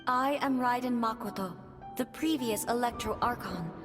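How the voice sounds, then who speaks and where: A serene, tender goddess spirit from a video game, acted by a woman, speaks in a high, gentle voice. Her formal farewell delivery floats in long reverb over prominent music. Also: moderate pace, natural voice. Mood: gentle, warm.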